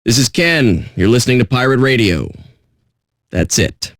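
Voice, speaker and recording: An actor playing a jaded pirate radio DJ with a sardonic, blunt, profane way of talking. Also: male voice, mid-range voice, animated, normal speed, a bit bright, dry recording, studio quality. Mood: bored contempt. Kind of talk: irreverent radio DJ patter.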